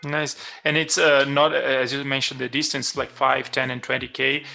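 A man hosting an interview podcast, asking questions with halting restarts. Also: mid-range voice, flat delivery, creaky, pushed and bright. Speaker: podcast host.

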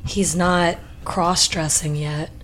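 Lower-pitched woman chatting, faint background noise. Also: steady tone, slow. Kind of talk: casual podcast chat.

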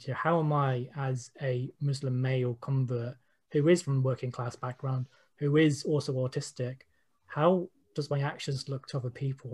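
A man with a British accent and a medium-pitched voice. He speaks as a panel participant in an informal discussion, giving opinionated answers and leaning on repeated phrases. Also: darkish tone. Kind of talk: informal discussion, personal testimony.

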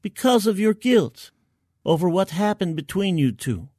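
A man voicing a female military medic in an audio story, gentle, earnest and concerned as she probes. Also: sits high, big pitch swings, measured pace, dry recording, clean studio sound, voice pushed up, exaggerated voice. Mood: gently probing.

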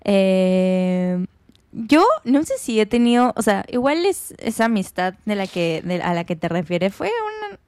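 A woman, co-hosting a podcast and telling stories in casual chat with quick asides. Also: mid-range voice, lively intonation, a little mellow, clean tone, dry recording. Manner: chatty, storytelling with quick asides. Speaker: podcast co-host.

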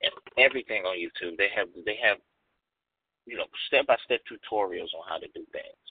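Man, 40s, low voice, forceful and repetitive delivery; dry, tinny phone-quality audio. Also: a little fast, breathy edge, no room sound. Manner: emphatic, repeats phrases for stress. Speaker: guest. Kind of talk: candid podcast conversation.

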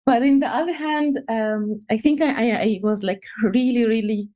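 A young woman from Egypt, a researcher answering interview questions in a halting way, with many fillers and restarts. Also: a little high, warm tone, dull audio. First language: Egyptian Arabic. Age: young adult. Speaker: guest researcher.

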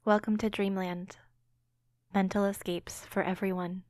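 Soothing, flat-voiced meditation guide woman. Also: mid-range voice, dry recording, clean studio sound. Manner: slow, soothing, gentle delivery. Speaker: meditation podcast host.

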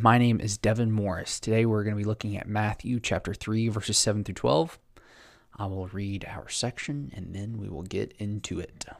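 Gravelly low-voiced man teaching scripture. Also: darkish tone. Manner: rambling, full of restarts and fillers. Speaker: podcast host teaching scripture. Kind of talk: casual Bible study teaching.

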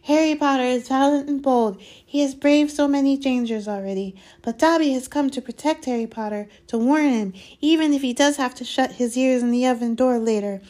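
A woman voicing a small, excitable male house-elf in a storybook, squeaky, reverent and fearful, speaking of himself in the third person. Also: fairly quick, hardly any pauses, close to an everyday voice. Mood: admiring, earnest. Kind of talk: dramatised storybook reading.

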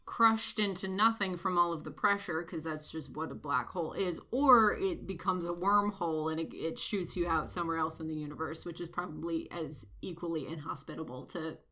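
A woman in casual movie-review chat, measured and thoughtful as she weighs both sides. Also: on the low side, bright, forceful tone. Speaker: film review podcast co-host.

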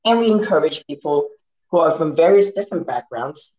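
A man from Hong Kong whose first language is Cantonese, speaking as an interview guest in a measured, reflective way with some restarts. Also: a little high, expressive, darkish tone, breathy edge, poor audio. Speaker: guest, science story editor.

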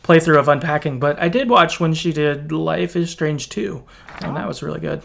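A man's voice: a little high, normal speed, vocal fry, a bit bright. A man who is a guest on a casual podcast, easygoing and agreeable, with lots of ums. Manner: easygoing, agreeable, filler-heavy. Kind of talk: casual chat.

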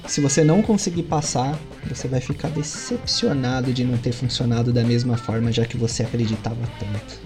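A man with a Brazilian Portuguese accent, running a tabletop role-playing session as game master and telling the scene with lively storytelling. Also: brisk, few pauses, music in the background. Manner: animated storytelling with sudden lifts in pitch.

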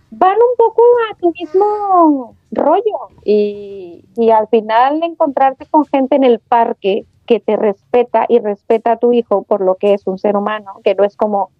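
A Mexican woman guest talking in a chatty, animated way, with colloquial slang. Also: a little high, a little slow, dry recording, lo-fi sound, soft background music. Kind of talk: casual podcast conversation.

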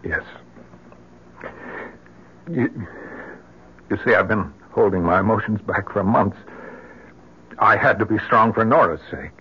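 A middle-aged American man playing a troubled, grieving husband in an old-time radio drama, speaking in an earnest, halting, emotional way. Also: on the low side, slightly rough, exaggerated voice. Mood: grieving, strained. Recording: muffled vintage radio recording.

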